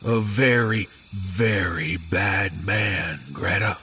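An actor playing a grim, haunted middle-aged father in a horror audio drama, speaking gravely and haltingly. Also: low voice, pushed and bright, slight background noise, full character voice. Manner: slow, grave, halting delivery. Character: grim, haunted father confiding a dark family secret.

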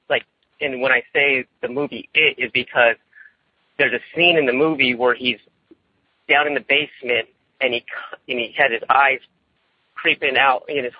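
A young man, calling in by phone and telling a personal story in a casual, rambling, nervous way, often halting and repeating himself. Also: medium pitch, breathy edge, dry recording. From California, United States. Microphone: phone line. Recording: tinny, boxy phone call.

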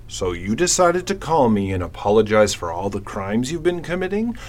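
An actor playing a gruff, frustrated police commissioner in an old-time radio drama, with an emphatic, commanding manner. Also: male voice, lowish voice, sing-song, moderate pace. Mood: indignant. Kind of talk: 1940s radio drama acting.

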